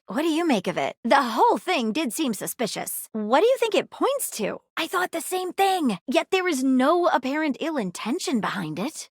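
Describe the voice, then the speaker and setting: A woman's voice: high voice, breathy edge, no echo, clean studio sound, exaggerated voice. A woman playing an earnest, inquisitive detective in a video game, with theatrical, articulate and courteous delivery. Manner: theatrical, articulate, formal phrasing. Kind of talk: acted video game dialogue.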